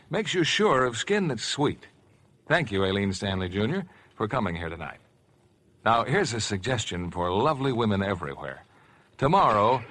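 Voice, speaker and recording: Male host for an old-time radio theater: scripted, polished, lively swooping delivery, medium pitch. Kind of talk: 1950s radio announcing, scripted. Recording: vintage 1950s radio broadcast.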